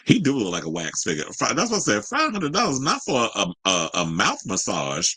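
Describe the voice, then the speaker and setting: A man's voice: on the low side, huge pitch swings, fairly quick, sharp tone, breathy edge, dry recording. A man hosting casual gossip commentary, chatty and candid, with a sassy, colloquial way of talking to his audience. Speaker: host of a commentary show.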